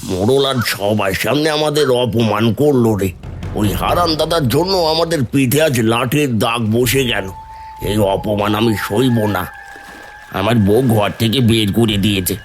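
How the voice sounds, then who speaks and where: Low male voice man in character, poor schemer, complaining, resentful, animated village story, faint music bed. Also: natural voice. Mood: bitter.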